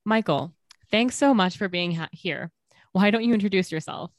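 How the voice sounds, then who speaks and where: A woman's voice: mid-range voice, moderate pace, dry recording, clean studio sound. A woman co-hosting a podcast, introducing her guest and asking him encouraging, upbeat questions. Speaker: podcast co-host.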